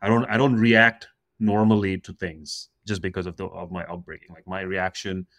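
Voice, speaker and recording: A man in his forties from Russia, a comedian guest on a podcast, telling stories in an animated way, laughing mid-sentence and acting out quoted voices. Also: sits low, normal speed, no room sound. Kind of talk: casual podcast conversation.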